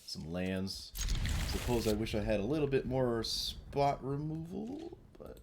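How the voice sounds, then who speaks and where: A man's voice: mid-range voice, very animated, unhurried, creaky, noisy background. A man with a stuffy nasal voice from a cold, rambling casually as he streams a card game. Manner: mumbling, rambling, self-deprecating. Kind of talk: casual gameplay commentary.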